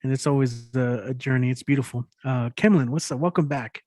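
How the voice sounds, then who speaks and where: A man's voice: mid-range voice, fairly quick, darkish tone, dry recording, studio quality. A man hosting an online open mic, introducing performers in a casual, appreciative, informal way. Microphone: online video call at home.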